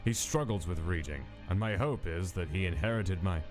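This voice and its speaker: Male actor as monster mad scientist: very low voice, clinical, measured, dismissive, music underneath. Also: a little mellow, close to an everyday voice. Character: cold, detached male monster mad scientist, a reluctant creator. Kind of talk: fan comic dub narration of lab log entries.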